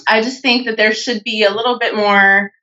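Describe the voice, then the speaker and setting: A young woman from California talks casually, her bright, forceful tone peppered with fillers and little restarts. The podcast audio is dull and somewhat lo-fi. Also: a little high, fairly even intonation, normal speed. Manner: chatty, many fillers and restarts. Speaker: podcast guest, natural bodybuilder. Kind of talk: casual interview chat.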